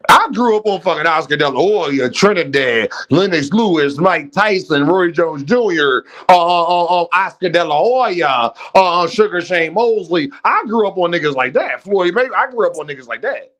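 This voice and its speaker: A man's voice: sits high, lively intonation, normal speed, hardly any pauses, fairly bright tone. Emphatic man ranting on a boxing stream. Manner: emphatic, swears freely, repeats names. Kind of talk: casual panel chat.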